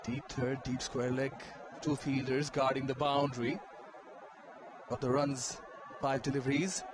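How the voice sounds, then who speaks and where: A man doing live cricket commentary, with his calls rising in excitement on big shots. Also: medium pitch, measured pace. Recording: loud stadium noise behind.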